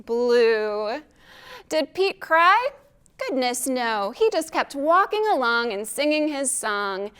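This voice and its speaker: A woman's voice: sits high, big pitch swings, slow pace, fairly bright tone, clear, resonant tone. A woman hosting a children's storytime as a librarian, reading aloud expressively and putting questions to young listeners.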